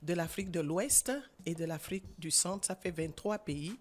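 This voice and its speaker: Earnest Gabonese woman in her sixties. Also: fairly low pitch. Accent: Gabonese French. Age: 60s. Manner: earnest, emphatic, pauses with fillers. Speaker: author and guest. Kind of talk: radio interview. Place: radio studio.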